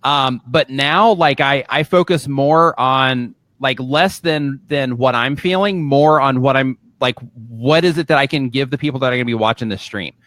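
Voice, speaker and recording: A man co-hosting a live show, chatting casually in a halting, rambling way with repeated words. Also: mid-range voice, fairly bright tone, no room sound. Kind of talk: casual, rambling advice chat.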